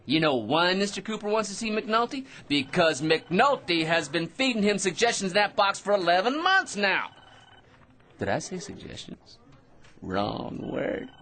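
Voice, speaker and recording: A smug chatterbox office crank in a radio play, voice all over the place, keeps giggling at himself. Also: male voice, sits high, sing-song, unhurried, bright, forceful tone, slight background noise, full character voice. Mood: smug, giggly. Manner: self-important, nagging, giggles at his own remarks. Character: boastful, chattering male office crank full of schemes. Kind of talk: radio drama acting.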